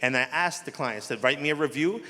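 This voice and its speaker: A man's voice: on the high side, a little fast, sharp tone. A man in his forties with a heavy New Jersey accent, a mortgage lender chatting casually on a conference panel, self-deprecating and repeating himself. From Elizabeth, New Jersey. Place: conference hall. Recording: echoey hall.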